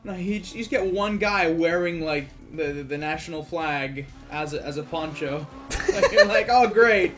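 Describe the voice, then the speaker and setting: A playful man from Quebec joins in as co-commentator on a video game. His voice sits a bit high, and he chats casually with a teasing, sarcastic bent over faint music. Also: lively intonation, moderate pace, fairly bright tone. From Quebec, Canada. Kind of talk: casual joking chat over gameplay.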